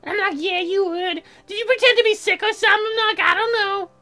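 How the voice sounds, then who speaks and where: Ditzy young townswoman, played by a woman: very high, crude, rambling, oversharing, comic game read. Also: fast talker, sharp tone, faint music behind. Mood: chirpy, rambling. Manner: airheaded, rambling, full of "like". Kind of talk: comic visual novel voice acting.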